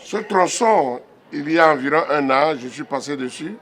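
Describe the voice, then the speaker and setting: A man's voice: a little high, expressive, normal speed, fairly bright tone. A man giving a spoken interview statement as an official. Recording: faint ambient background noise.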